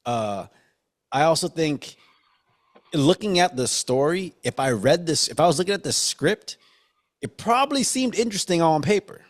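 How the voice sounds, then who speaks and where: Male podcast host, animated and conversational, casually steering a film discussion among friends. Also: fairly high pitch, moderate pace.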